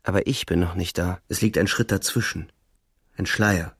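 Low, creaky young soldier. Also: male voice, fairly even intonation, fairly bright tone, no room sound, exaggerated voice. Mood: heavy, moved. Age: young adult. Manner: terse, reflective, halting phrases. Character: young weary soldier son, narrating, haunted and withdrawn. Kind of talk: literary radio drama narration, intimate first person. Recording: studio radio drama.

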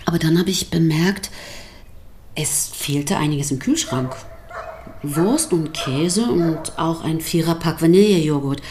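A woman playing a composed pastor's wife in a German radio drama, giving testimony in a dry, measured, ironic way. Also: low-pitched, dark tone. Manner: dry, measured, delicately euphemistic. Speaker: actor in a radio play.

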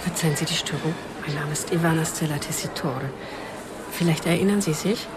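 An actress playing a polite, earnest charity volunteer who pleads gently in a radio drama. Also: female voice, low-pitched, fairly quick, over loud music, lightly acted.

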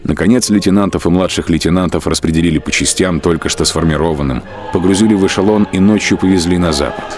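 A man narrating a radio drama in a measured, grave storytelling style. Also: low-pitched, a little mellow. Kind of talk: steady literary narration for a radio play.

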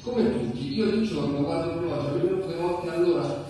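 A man playing an anxious, childlike unemployed grown son in a theatrical monologue, halting and repeating himself as he pleads. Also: on the high side, warm tone, natural voice. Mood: restless, fretful. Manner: halting, repetitive, fretful. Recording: echoey reverberant hall from far off, faint noise.

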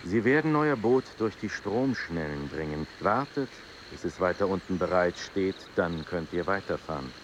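An authoritative mission priest in a radio drama. His voice is very low and matter-of-fact, with clear insect noise around it. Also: lively intonation, moderate pace, few pauses. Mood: curt, authoritative. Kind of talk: radio play acting.

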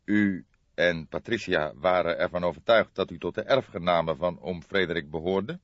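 Man playing a low, composed police detective. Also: no echo, muffled recording. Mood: probing. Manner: deliberate, composed, formal. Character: calm, measured, formal male police detective. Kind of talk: audiobook character voice.

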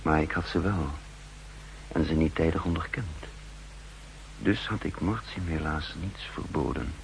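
An actor playing a brooding spy chief who narrates his own story gravely and deliberately in a 1960s radio play, with a gravelly voice. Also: rumbling low, exaggerated voice, poor audio. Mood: guilt-ridden. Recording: 1960s radio recording, faint hum and noise.

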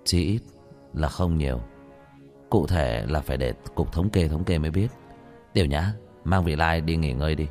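A man acts out a stern male hero of a fantasy audiobook, cool and unyielding. The voice sits low and firm, with faint music behind it. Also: moderate pace, a little mellow. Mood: cold, stern.

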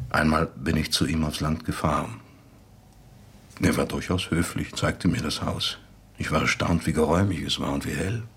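Reflective radio-drama narrator, extremely deep and very husky, measured, understated delivery. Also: male voice, a bit bright, exaggerated voice. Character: reflective man quietly recalling an acquaintance. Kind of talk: reflective first-person monologue in a radio drama.

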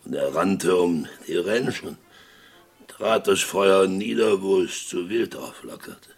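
An actor playing a fervent, gravelly friend of a condemned man in a German Western radio play, dramatic and given to repeating himself. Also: low voice, expressive, full character voice. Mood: haunted, grave. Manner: slow, halting, dramatic storytelling with repetitions.

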